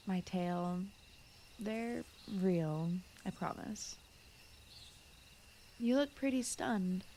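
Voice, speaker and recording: A woman voicing a gentle, shy fox spirit who guards a forest, speaking softly and often in whispers in a soothing bedtime ASMR roleplay style. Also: medium pitch, a little hiss or hum. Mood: gentle, curious. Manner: soft-spoken, often slipping into whispers, rambling, apologetic. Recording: clear night cricket and forest ambience. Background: crickets, insects, night forest ambience.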